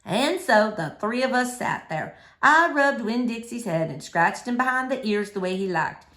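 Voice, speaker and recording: A woman reading a children's novel aloud in an expressive, animated storytelling manner. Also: on the high side, normal speed. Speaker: storybook reader.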